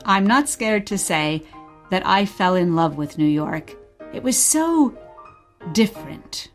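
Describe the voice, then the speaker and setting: A woman's voice: medium pitch, lively intonation, slow pace, faint music behind. A woman playing a wistful mother reminiscing about exile and telling her story simply in a radio play. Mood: fond, nostalgic. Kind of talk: short radio play dialogue, bedtime storytelling.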